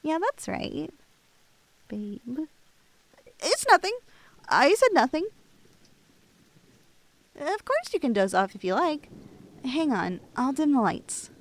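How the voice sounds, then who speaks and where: Young woman doing a shy lovestruck cosplay girl, highish voice, slangy and bashful, asmr roleplay right up on a dry mic. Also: sing-song, no room sound, full character voice. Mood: teasing, casual. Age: young adult. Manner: girlish slangy speech, halting and bashful. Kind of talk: intimate ASMR roleplay acting. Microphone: close, dry studio-style microphone.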